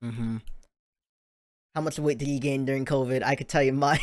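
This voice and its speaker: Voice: mid-range voice, steady tone, normal speed, no echo, studio quality. A stream host making casual chat, chatty and giggly, asking teasing questions.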